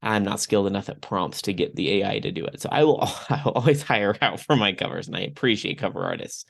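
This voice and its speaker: A man's voice: fairly low pitch, fast talker, gravelly. A young man hosting a podcast, talking casually and rambling a bit, his voice a little off from a recent throat infection. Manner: chatty, rambling with fillers. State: recovering from strep throat. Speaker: podcast host.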